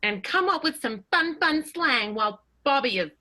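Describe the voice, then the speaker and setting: A woman's voice: high voice, animated, moderate pace, sharp tone. A woman playing a tactful, genteel vaudeville diva, mannered and wordy, in an improvised comic radio play. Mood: coaxing.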